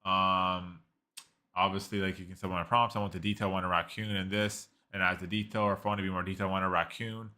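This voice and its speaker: A man talks through a software review in a loose, rambling way, piling up "like" and restarting his sentences. His voice sits low but comes across bright and forceful. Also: level tone, quick pace. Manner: rambling, heavy use of "like", frequent restarts. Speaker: tech reviewer. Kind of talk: casual screen-recorded software review.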